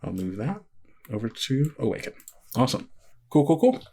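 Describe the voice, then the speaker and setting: Male game master for actual-play horror podcast. Conversational, improvised narration, average pitch, often asks questions. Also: very animated, normal speed, mellow, breathy edge.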